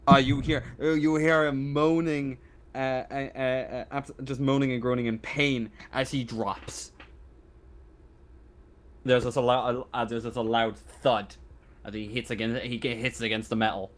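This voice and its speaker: Halting, casual man as game master. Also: mid-range voice, flat delivery. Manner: halting, stammering delivery. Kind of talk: improvised tabletop game narration and rulings.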